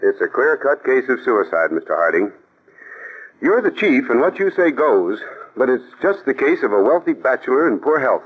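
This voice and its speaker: An actor playing a dutiful assistant agent in a 1940s radio drama, reporting in a brisk manner. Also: male voice, mid-range voice, big pitch swings, husky, phone-quality sound. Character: Mark, eager young assistant agent.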